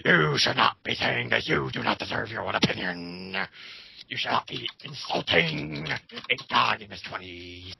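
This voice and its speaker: Man putting on a gruff, smug tough guy. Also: on the low side, lively intonation, unhurried, bright, forceful tone, a little hiss or hum, voice pushed down, exaggerated voice. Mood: condescending. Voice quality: gruff, Batman-like growl. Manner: condescending, mocking.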